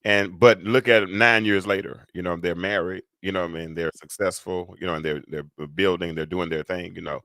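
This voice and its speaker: A man's voice: deep voice, steady tone, brisk, few pauses, bright, forceful tone, dry recording. A man hosting a casual live talk, rambling with lots of fillers and restarts. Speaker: host.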